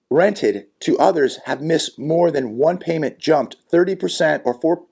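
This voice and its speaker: A man with a hoarse, raspy voice from a sinus infection, hosting a solo commentary vlog in an earnest, cautionary way with many fillers and repeated words. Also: mid-range voice, mellow. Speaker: channel host and economy commentator.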